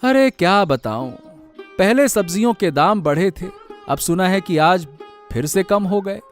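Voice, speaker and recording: A struggling village vegetable seller acted by a man in a cartoon story. He sounds worried and complaining, in a fairly high voice. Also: animated, unhurried, faint music behind.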